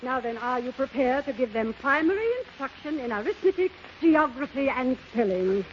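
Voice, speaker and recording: An actress playing a pompous, fluttery high-society matron with formal diction in 1930s radio comedy style. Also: female voice, expressive, normal speed. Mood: haughty, puzzled. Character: haughty, flustered high-society matron with a butler. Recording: muffled, noisy 1930s radio recording.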